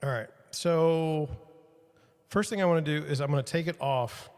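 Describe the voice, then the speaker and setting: A man's voice: fairly high pitch, normal speed, a little mellow, clear, resonant tone, in a large hall. A podcast host rambling to his livestream viewers while swapping out his microphone mount, joking at his own expense. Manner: self-deprecating, rambling, talks to viewers. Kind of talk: casual self-talk while fixing gear on a livestream. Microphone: studio mic with changing levels.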